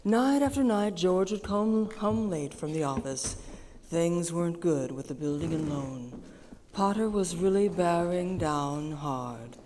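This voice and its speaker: A woman narrating a live radio play with measured, unhurried storytelling. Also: lowish voice, warm tone, slight background noise, lightly acted. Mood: calm. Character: calm storytelling female narrator. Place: theater stage. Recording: echoey theater hall.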